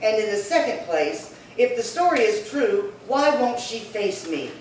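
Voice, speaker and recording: A woman's voice: fairly high pitch, very animated, measured pace, a little mellow. A woman playing an indignant, bossy sister in an old-time radio crime drama, scolding emphatically and repeating herself. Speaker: actor. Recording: very echoey room, distant mic, faint noise.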